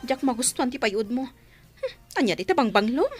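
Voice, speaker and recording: A woman's voice: a little high, big pitch swings, normal speed, over music. A woman acting a fussy, plaintive young pregnant wife in an Ilocano radio comedy drama. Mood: whiny, fussy.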